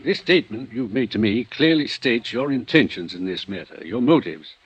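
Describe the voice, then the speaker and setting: A man's voice: medium pitch, animated, normal speed, slightly rough, no room sound. A man acting a frank, middle-aged small-town lawyer in a 1950s radio drama. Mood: businesslike. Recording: dull vintage radio recording.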